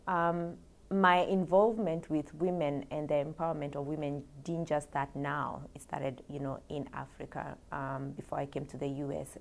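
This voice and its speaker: A young woman, a graduate student, answering interview questions in a soft-spoken, hesitant way. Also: deep voice, creaky. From Kenya. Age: young adult.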